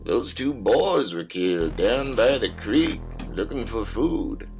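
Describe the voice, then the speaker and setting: Stern, weary Southern survivalist dad male actor, low, slow and crackly, audio drama. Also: lively intonation, a little mellow, soft background music. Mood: grave. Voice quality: low, gravelly. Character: grim, sparing post-apocalyptic backwoods father called Pa.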